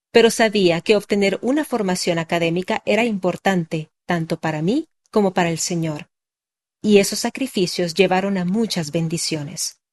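Woman, young adult, scripted first-person narration, earnest, steady mid-range voice. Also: mid-range voice.

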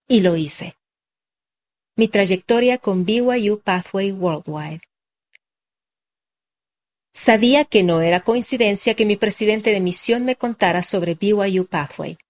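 A young adult woman narrating a personal testimony in a measured, earnest scripted read. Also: mid-range voice.

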